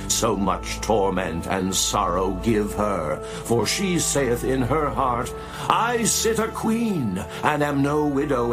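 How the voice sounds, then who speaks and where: Guy doing voice from heaven, really slow and solemn, declaring judgment, music going behind. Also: medium pitch, close to an everyday voice, rough recording. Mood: stern judgment, then haughty mimicry. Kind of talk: dramatized scripture reading.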